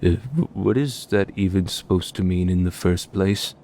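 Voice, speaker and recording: A man's voice: deep voice, normal speed, mellow. A man playing a blunt, slouchy young geek in an anime visual novel fan dub, casual and unimpressed, on a slightly noisy recording. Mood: sceptical.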